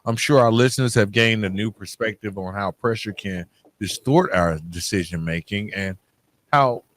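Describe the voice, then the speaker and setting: A man's voice: on the low side, moderate pace, a bit bright. A man hosting a podcast in a casual, slangy and encouraging way, speaking conversationally to guest and listeners. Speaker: podcast host. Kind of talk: casual conversational interview and sign-off.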